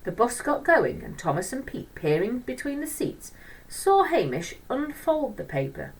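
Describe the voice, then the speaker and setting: A woman storyteller reading a children's book aloud in an expressive narrating style. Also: fairly high pitch, a little slow, slightly rough, far from the mic, low-quality recording.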